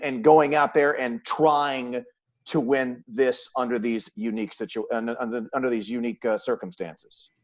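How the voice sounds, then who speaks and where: Man hosting a sports chat. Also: mid-range voice. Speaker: sports web show host, play-by-play announcer. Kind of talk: conversational sports interview.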